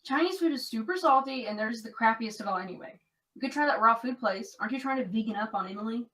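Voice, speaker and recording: A woman's voice: on the high side, animated, quick pace, fairly bright tone, recorded from a distance, rough recording. A woman playing an earnest, conflicted young American woman in an audio drama, confiding in natural dialogue. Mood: playful. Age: young adult.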